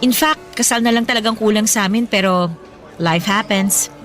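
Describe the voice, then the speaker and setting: A woman's voice: medium pitch, normal speed, a bit bright, close to an everyday voice. An actress playing a teasing, spirited young office woman on a date in a Filipino radio romance, with a playful, emphatic way of talking. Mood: frank, rueful. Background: background music.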